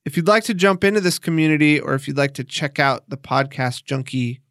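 Guy hosting a podcast doc, kinda higher voice, dry close sound, casual and into it. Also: brisk, a bit bright, no echo. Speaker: documentary podcast host. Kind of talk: conversational podcast narration and interviewing.

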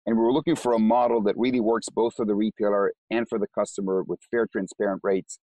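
A man's voice: on the low side, fairly even intonation, fast talker, dark tone, dry recording, poor audio. A man with an Israeli accent, a company co-founder, explaining his business in an interview and often repeating words as he starts sentences.

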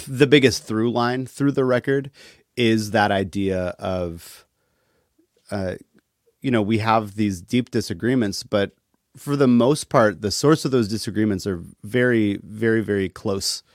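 A man talking casually as a podcast guest, thoughtful and halting, with a wry streak. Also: lowish voice, no echo, studio quality. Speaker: interview guest, musician.

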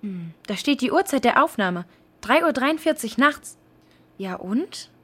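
A woman playing a sceptical, sharp-minded girl detective in a children's audio drama, questioning and doubting. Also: fairly high pitch, lively intonation, measured pace, breathy edge, full character voice.

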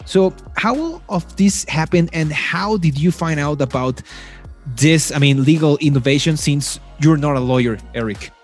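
A man's voice: on the high side, moderate pace, soft background music. A man hosting a podcast, introducing the show and asking his guest about his career. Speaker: podcast host. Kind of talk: interview hosting.

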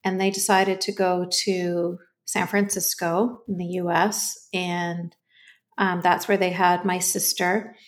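A woman's voice: fairly low pitch, slow pace. Canadian-raised woman on a podcast, earnest and thankful, talks her life story. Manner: earnest, grateful, animated storytelling. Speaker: guest author. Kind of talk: podcast interview.

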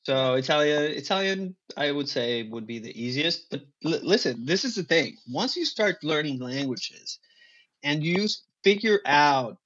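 A man whose first language is Spanish, a guest talking casually about acting and languages in an animated, emphatic way. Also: a little high, unhurried, dull audio. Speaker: guest, actor. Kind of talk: casual interview talk.

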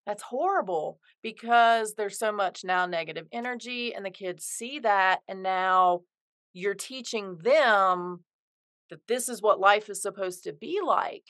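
Bright, crisp woman podcast host. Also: medium pitch, measured pace, dry recording, clean studio sound. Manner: engaged, empathetic questioning. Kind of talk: conversational interview.

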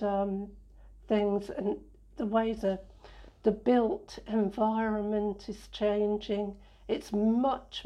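A woman from England answering interview questions in an unhurried, reflective way, with many fillers and restarts. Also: fairly high pitch, dark tone, highs cut off.

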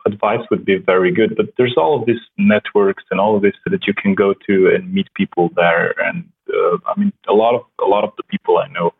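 A man with a Slovenian accent, a startup co-founder chatting in a soft-spoken, halting way with many restarts. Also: low voice, fairly even intonation, creaky, husky. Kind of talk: casual conversation. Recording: tinny phone-like line.

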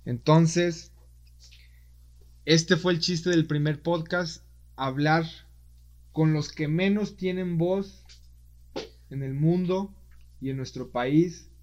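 A man's voice: a little high, slow, pauses often. A man hosting a podcast interview, asking questions in a friendly, conversational way. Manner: friendly, conversational, wraps up warmly. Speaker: podcast host. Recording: dull lo-fi audio.

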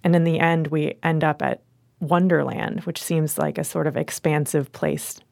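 A woman with a low, creaky voice discusses literature as a host and editor. She is measured and analytical. Her voice sounds very dry, with no room around it. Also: fairly even intonation, a little mellow, no echo. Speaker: magazine editor and podcast host.

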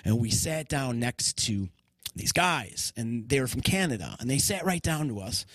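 A woman's voice: a bass voice, lively intonation, moderate pace, no room sound. Dry-sounding chatty woman host. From Utica, New York. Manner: chatty, reads out viewer comments. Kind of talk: casual chat, storytelling.

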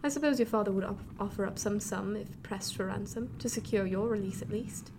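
A woman's voice: medium pitch, fairly quick, background noise, lightly acted. A woman playing a bitter, sardonic 18th-century mother in a radio drama, with dry, formal diction.

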